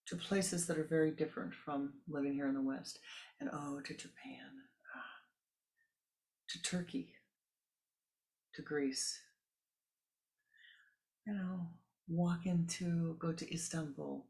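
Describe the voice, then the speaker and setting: A man's voice: a little high, fairly even intonation, slow pace, gravelly, long pauses, a little mellow, poor audio. A man giving a spiritual talk, musing aloud and repeating words for emphasis. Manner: emphatic repetition, musing asides. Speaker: spiritual teacher. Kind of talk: sermon.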